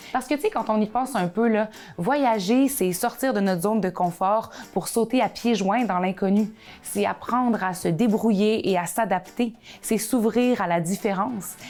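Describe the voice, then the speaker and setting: A woman, reading a scripted, reflective narration in a measured way. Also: mid-range voice, darkish tone. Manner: measured, thoughtful voice-over.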